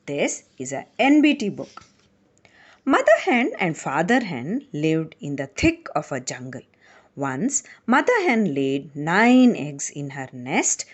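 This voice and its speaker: A woman narrating a children's bedtime story in a deliberate, theatrical manner. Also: low-pitched, very animated. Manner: deliberate, theatrical, with acted sound effects.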